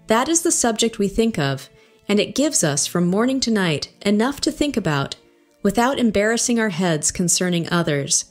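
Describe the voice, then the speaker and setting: A man voicing a stern, coolly guarded woman who keeps a wine shop, curt and quietly menacing. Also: high-pitched, sing-song, normal speed, soft background music, voice pushed up.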